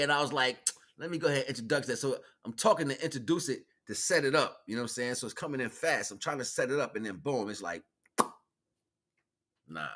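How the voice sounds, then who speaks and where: A man's voice: medium pitch, pushed and bright. An American man giving an improvised, slangy spiritual talk, repeating phrases for emphasis and acting out bits of dialogue. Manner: slangy, conversational, acts out imagined dialogue. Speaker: spiritual teacher and livestream host. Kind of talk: improvised spiritual talk, casual street preaching.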